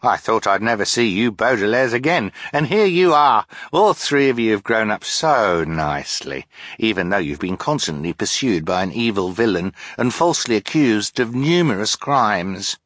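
A man's voice: on the low side, very animated, moderate pace, fairly bright tone, no echo, clean studio sound, voice pushed up. A man voicing a cheerful, friendly young cook.

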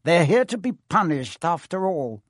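A man's voice: fairly high pitch, very animated, normal speed, dry recording, clean studio sound, voice pushed up. A man voicing a spiteful old caretaker who sneers with nasty glee. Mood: cold.

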